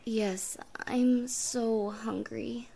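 A woman's voice: a little high, steady tone, drawn-out words, creaky, mellow, slight background noise, heavily acted. A woman voicing a sweet, innocent young goth college girl with a meek, childlike manner in an ASMR roleplay. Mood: sweet, eager. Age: young adult. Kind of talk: ASMR roleplay audio drama.

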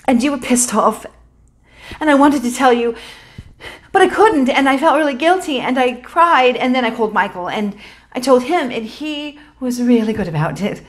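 A woman's voice: high-pitched, animated, normal speed, pitched above the performer's own voice. Woman acting a frantic, guilty young woman confessing. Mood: distraught, pleading. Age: young adult. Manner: breathless, run-on, urgent, blurting. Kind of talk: dramatic stage monologue.

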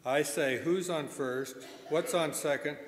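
A man's voice: fairly high pitch, unhurried, lightly acted. Man playing unflappable straight man, echoey hall. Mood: matter-of-fact. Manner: unflappable, matter-of-fact. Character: matter-of-fact straight man of comedy duo. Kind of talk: old-time radio comedy sketch. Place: stage in a church-like hall, live show.

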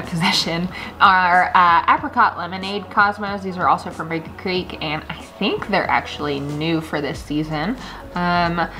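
A woman's voice: lowish voice, pushed and bright. A woman in her thirties chatting casually and enthusiastically to camera as a gardening vlogger in her kitchen. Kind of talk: casual chat to camera, showing seed packets. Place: home kitchen, indoors.

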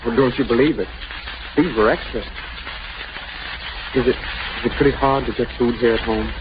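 An actor playing a weary eighteen-year-old soldier son, halting and gently reassuring, in 1930s radio style. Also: male voice, medium pitch, steady tone, lightly acted. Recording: noisy, muffled 1930s radio broadcast.

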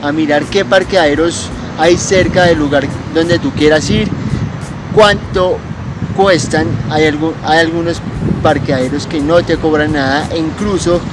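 A man's voice: fairly high pitch, fairly even intonation, a little slow, noisy background. Chatty young Bogotá man vlogging outdoors. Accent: Bogotá Colombian Spanish. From Colombia. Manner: chatty, calls viewers parceros. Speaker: cycling vlogger. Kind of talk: casual vlog to camera. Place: outdoors on city streets. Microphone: handheld or mounted camera close up. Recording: loud background music. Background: music.

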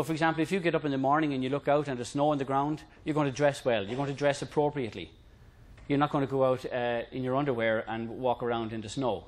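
A middle-aged man with an Irish accent presenting a technical concept to a room in a conversational, lightly humorous way. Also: medium pitch. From Ireland. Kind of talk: informal lecture to a room.

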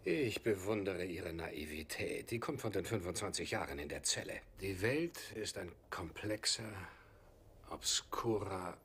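A man's voice: sits low, animated, measured pace, a bit bright, slight background noise, full character voice. An actor playing a worldly male questioner who probes with faint sarcasm in a dubbed film. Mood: probing.

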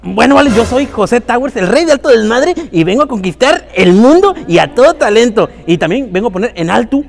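Boastful gravelly man, stammering fast. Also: very high-pitched, expressive. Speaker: comic contestant. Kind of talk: comedy sketch. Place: large bare hall indoors. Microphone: handheld mic.

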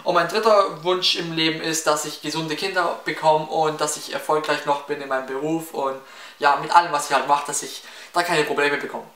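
A man's voice: on the high side, steady tone, a little fast, fairly bright tone. A seventeen-year-old young man with a Swabian accent answering viewer questions in a chatty, rambling way, full of fillers and restarts. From Baden-Württemberg, near Stuttgart. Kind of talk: casual Q&A vlog. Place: small room in front of window blinds, indoors. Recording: echoey room, slightly distant mic.

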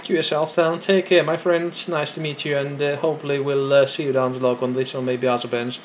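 Higher-pitched man on ham radio, dull sound. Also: little pitch movement, fairly quick. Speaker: amateur radio operator. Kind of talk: ham radio contact exchange. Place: indoors beside a radio set. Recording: dull lo-fi audio with faint noise.

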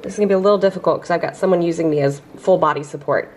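A woman in her thirties chatting casually as she cleans her home on camera, self-deprecating and quick to laugh. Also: fairly low pitch, darkish tone. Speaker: lifestyle vlogger. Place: kitchen and entryway of a house, indoors.

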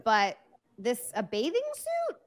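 A woman's voice: on the high side, huge pitch swings, slow, background noise. A woman guest on a casual panel chat who answers chattily and shares personal anecdotes over a video call.

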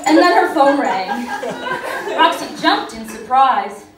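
A woman's voice: high-pitched, expressive, slow, crowd noise. A woman performing a comedic short story live on stage in a theatrical, mock-naive manner. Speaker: writer reading own short story on stage. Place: small stage venue with audience. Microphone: stand mic, heard through distant camera. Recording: echoey room, far from camera, noisy.

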